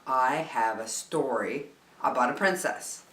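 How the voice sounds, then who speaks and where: A woman reading a picture book aloud to children as a storyteller, expressively, with deliberate dramatic emphasis on key words. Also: rumbling low, fairly bright tone. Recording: roomy indoor echo.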